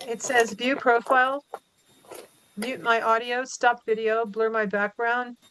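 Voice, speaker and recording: Chatty woman with a fairly high voice. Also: level tone, unhurried, a bit bright, a little hiss or hum, rough recording. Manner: chatty, reads menu items aloud. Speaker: commission member, temporary meeting host. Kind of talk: virtual meeting chat.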